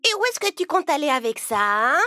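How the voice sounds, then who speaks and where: A woman voicing spiteful, whiny young stepsisters in a fairy tale, bossy and shrill. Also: big pitch swings, a little fast, bright, forceful tone, soft background music, exaggerated voice. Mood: accusing. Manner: shrill, accusing.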